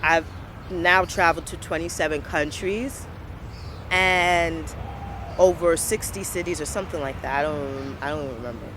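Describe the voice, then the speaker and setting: A woman's voice: sits low, moderate pace. A young woman from Brooklyn, speaking earnestly and passionately about her community in a personal interview, repeating words for emphasis. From Brooklyn, New York, USA. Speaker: interviewee, local business owner. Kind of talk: personal reflective testimony. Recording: street recording with traffic noise.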